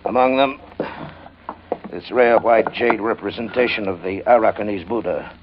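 A man plays a shady, hard-up gentleman yacht owner with grand airs. His voice sits fairly low, and he talks in flowery, hesitant phrases. It is vintage radio drama on a dull, narrow-band recording. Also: normal speed, background noise, lightly acted. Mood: smooth, scheming. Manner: pompous, flowery, hesitant hemming. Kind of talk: old-time radio drama acting.

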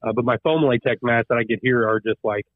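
Male phone-in guest, mid voice, halting and rambling; tinny, dry phone line. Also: medium pitch, fairly even intonation, no room sound. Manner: rambling, many ums and restarts. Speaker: guest, haunted attraction owner. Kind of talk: phone interview answers. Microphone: phone call.

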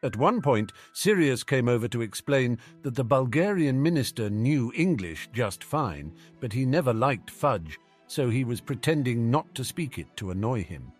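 Lively male audiobook narrator. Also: medium pitch, normal speed, hardly any pauses, faint music behind. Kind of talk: scripted story narration.

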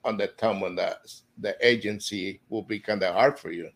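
A middle-aged man hosting a casual podcast interview, easygoing, with halting, filler-laden questions. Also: fairly low pitch, fairly bright tone. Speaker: podcast host.

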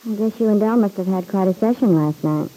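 A woman's voice: mid-range voice, a little fast, mellow, close to an everyday voice, poor audio. A woman playing a chatty, plain-spoken young roommate in an old-time radio serial, with breezy, slangy, folksy talk. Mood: breezy, frank.

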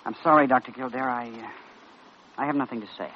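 A man's voice: medium pitch, fairly even intonation, close to an everyday voice. Man as guarded young intern, muffled old radio. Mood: defensive, resigned. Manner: hesitant, halting, stubborn. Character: proud, guarded young male intern, defensive and uneasy. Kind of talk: old-time radio drama acting. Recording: muffled, noisy vintage radio recording.

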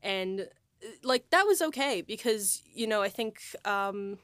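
She is a woman hosting an informal podcast about making a show. Her talk rambles and is dotted with "like". Her pitch sits in the ordinary middle range for a woman, and the sound is dry, with hardly any room to it. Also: lively intonation, measured pace, a bit bright, dry recording. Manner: rambling, peppered with "like". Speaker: host and audio drama writer-producer. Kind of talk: casual behind-the-scenes podcast chat.

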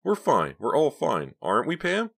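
A man's voice: medium pitch, wildly swooping pitch, moderate pace, gravelly, a bit bright, breathy edge, pitched above the performer's own voice, heavily acted. A man voicing a scared, eager young male robbery accomplice in an audiobook story, choked and anxious. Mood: anxious, placating.

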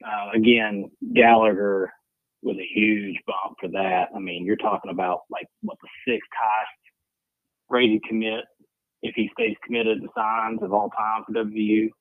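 A man's voice: fairly low pitch, expressive, measured pace, vocal fry, dry recording. A man giving matter-of-fact recruiting analysis in casual sports chat. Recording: tinny phone-like line.

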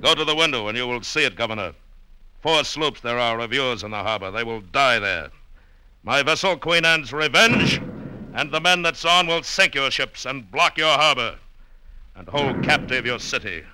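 A swaggering pirate captain, theatrical and very forceful, with wide swings of pitch. He talks in old seafaring phrases on a hissy 1950s radio drama recording. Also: lively intonation, moderate pace, rough recording. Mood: menacing, boastful. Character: swaggering male pirate captain, menacing, indulgent to his wife.

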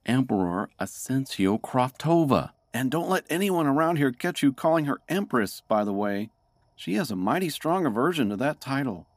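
An actor playing a wry, cautious fellow prisoner who explains things in a comedic fantasy audio drama. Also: male voice, mid-range voice, sing-song, normal speed, no echo.

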